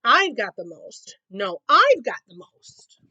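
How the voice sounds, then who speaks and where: A storybook bear cub, played by a woman, argues loudly and bossily, greedy for the biggest share. The pitch jumps around in insistent, bickering bursts. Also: huge pitch swings, slow pace, dry recording, highs cut off, heavily acted. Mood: bossy, squabbling. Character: squabbling greedy bear cubs, brother and sister, loud and bossy.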